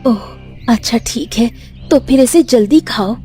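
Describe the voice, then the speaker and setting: A woman's voice: fairly high pitch, measured pace, dark tone, faint music behind, close to an everyday voice. A woman voicing a brave, determined and earnest young village girl in a cartoon story. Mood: eager. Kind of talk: animated village fairy tale acting.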